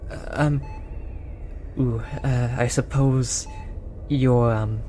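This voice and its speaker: A performer voicing a gentle, apologetic alien researcher, speaking softly and hesitantly in an earnest, reassuring roleplay style. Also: medium pitch, steady tone, very slow. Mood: flustered, apologetic. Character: tall, apologetic, gentle alien researcher soothing an abducted captive. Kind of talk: roleplay audio drama, soft comforting delivery. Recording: audible background noise, monitor beeping.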